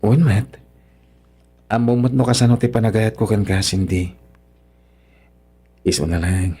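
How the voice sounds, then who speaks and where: A man's voice: fairly low pitch, steady tone, unhurried, mellow, breathy edge. A man playing a humble, earnest young son-in-law of poor means in an Ilocano radio drama, respectful and hesitant, with an Ilocano accent. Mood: hurt, sincere.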